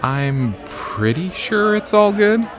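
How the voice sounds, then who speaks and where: A man's voice: high voice, very animated, takes it slowly, a bit bright, some chatter behind, heavily acted. A man playing a charming, slightly nervous date in an audio drama, playful and self-deprecating. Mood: sheepish, flirty.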